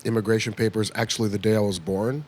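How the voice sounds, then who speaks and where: A man in his fifties from California, a guest comedian chatting in a bar at a comedy club, telling animated stories and acting out quoted voices. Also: fairly low pitch, quick pace, a little hiss or hum. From United States (California). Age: 50s. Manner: animated storyteller who acts out quoted voices. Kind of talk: casual interview chat.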